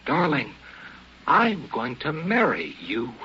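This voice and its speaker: An earnest lover in a radio melodrama. His delivery is slow and halting, with big emotional swings in pitch. The sound is slightly dull and hissy. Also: wildly swooping pitch, pushed and bright, full character voice. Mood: pleading, tender. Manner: halting, emotional, repeats words. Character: lovestruck hunter, an earnest, pleading man. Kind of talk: melodramatic radio drama acting. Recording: muffled, hissy old radio drama recording.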